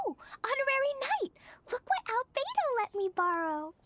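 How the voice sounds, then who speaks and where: A woman's voice: extremely high pitch, fairly bright tone, no echo, full character voice. A woman voicing an innocent, cheerful little girl in a video game, small and childlike, chatting in a guileless, earnest way.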